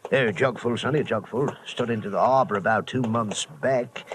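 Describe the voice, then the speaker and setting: A man's voice: medium pitch, fairly quick, close to an everyday voice. An actor playing a wry, talkative old harbor boatman with folksy nautical slang in old-time radio drama style. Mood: knowing, chatty. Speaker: water taxi boatman. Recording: noisy vintage radio drama recording.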